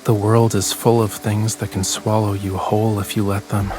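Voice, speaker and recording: An actor playing a young, brooding, teasing artist in a romance game, speaking softly and intimately. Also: male voice, fairly low pitch, level tone, moderate pace, natural voice, rough recording. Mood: tender, brooding. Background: music behind the voice.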